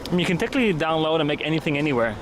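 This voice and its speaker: Chatty streamer walking outdoors with noise behind. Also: male voice, on the high side, big pitch swings, racing. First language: not Swedish. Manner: chatty, slangy, talks to chat. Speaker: livestreamer. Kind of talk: casual live-stream chat while walking. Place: outdoors by the water, wind and rain.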